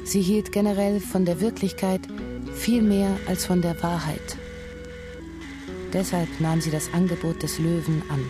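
A woman with a slightly low voice narrates a radio drama. Her scripted, literary storytelling is composed and precise, set over prominent music. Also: little pitch movement, a little slow.

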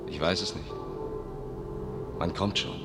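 An actor in a German radio play as a solemn, deliberate guide to a blind gentleman. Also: sits low, fairly even intonation. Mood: solemn, hushed. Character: male guide leading a blind, dignified companion. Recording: long reverb, loud music behind.

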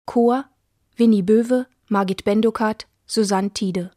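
A woman's voice: mid-range voice, steady tone, slow pace, dark tone, no room sound. A woman announcer reads a scripted list in a formal, orderly manner. She takes her time, and the recording is close and dry. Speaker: radio announcer.

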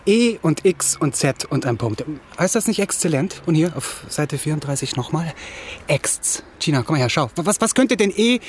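Actor as rambling, stammering young writer. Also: male voice, a little high, slight background noise, natural voice. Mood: feverish hope. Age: young adult. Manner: stammering, repeating words. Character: distraught, rambling, self-pitying young writer. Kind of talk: German radio drama acting.

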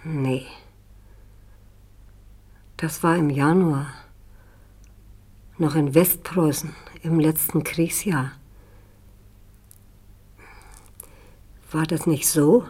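A woman's voice: deep voice, steady tone, slow pace, long pauses, warm tone, slightly rough, full character voice. An older woman in a radio drama playing a kindly old aunt who reminisces in a homely, rambling, self-questioning way. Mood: searching memory.

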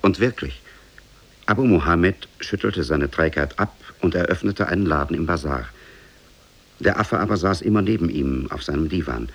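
Male narrator, bass with heavy creak, measured storybook delivery, vintage radio. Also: expressive, fairly bright tone. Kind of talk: scripted fairy-tale narration, 1950s radio.

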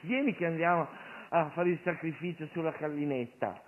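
A man's voice: fairly high pitch, moderate pace, voice pushed up, close to an everyday voice. A man playing an evasive father who soothes his son in an offhand, coaxing way, in comic stage storytelling. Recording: lo-fi radio broadcast with clear noise.

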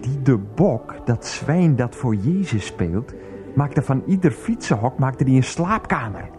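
Indignant, defensive ex-teacher for radio comedy, muttering and repetitive, with lively pitch swings over music. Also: male voice, measured pace. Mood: indignant, bitter. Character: aggrieved, grumbling ex-schoolteacher, jealous and sarcastic. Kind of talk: naturalistic comic radio play dialogue. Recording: music behind the voice.

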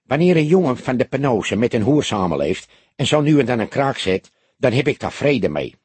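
A male reader plays a small, loyal café owner from the criminal underworld in an audiobook. The voice is husky and animated, earnest with an edge of venom. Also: mid-range voice, normal speed, no echo. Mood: matter-of-fact.

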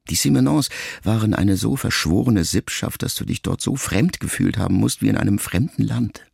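A man acting in a literary radio play as a grown, middle-aged son who quietly and introspectively addresses his mother in measured phrases. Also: on the low side, vocal fry, slightly rough, no room sound, clean studio sound, full character voice. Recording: music behind the voice. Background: music.

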